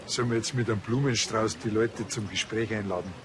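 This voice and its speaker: A man's voice: lowish voice, steady tone, brisk, a crowd in the background, close to an everyday voice. An actor playing a naive, grumbling village policeman with a Bavarian accent in a television comedy drama. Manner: colloquial, grumbling.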